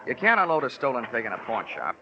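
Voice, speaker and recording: An actor playing a wry, cocky, wisecracking insurance investigator in an old-time radio drama, with wordy, flippant narration and dialogue. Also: male voice, mid-range voice, very animated, fast talker. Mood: wry, thoughtful. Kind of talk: 1940s-50s radio acting, first-person narration and dialogue. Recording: noisy, muffled old radio broadcast.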